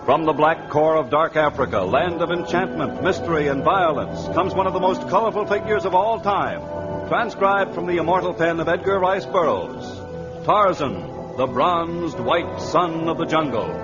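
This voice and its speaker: Male radio announcer: fairly high voice, grandiose vintage delivery over loud-ish music, muffled recording. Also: lively intonation, moderate pace. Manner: grandiose, theatrical. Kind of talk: dramatic old-time radio introduction.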